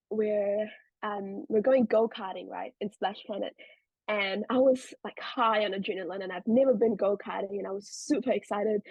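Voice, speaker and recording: A woman's voice: medium pitch, husky, no room sound, highs cut off. Chatty young woman with a South African accent. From India. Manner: chatty, many fillers like "um" and "like". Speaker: podcast guest. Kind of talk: casual interview chat.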